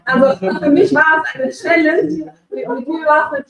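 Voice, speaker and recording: Excited woman, lots of ähm, echoey room, mic a bit far. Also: high-pitched, a little slow. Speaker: voice narrator of a children's story. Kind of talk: speech at a live event.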